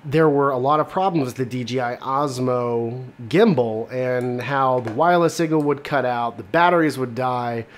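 A man in his thirties giving a casual, loosely explanatory software tutorial. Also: medium pitch, moderate pace, few pauses. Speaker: video editing tutorial host.

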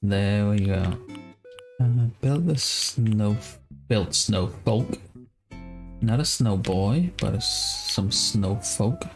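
A man in his thirties with a Danish accent, giving relaxed, casual live game commentary and musing aloud as he plays. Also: lowish voice, extremely slow. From Denmark. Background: guitar music.

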